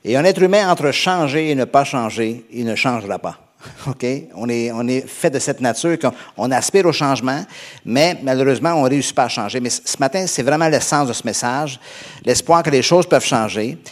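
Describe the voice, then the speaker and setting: A male pastor from Canada with a Québécois accent, preaching a sermon in a conversational, halting way with frequent fillers and restarts. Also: mid-range voice, expressive. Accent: Québécois French.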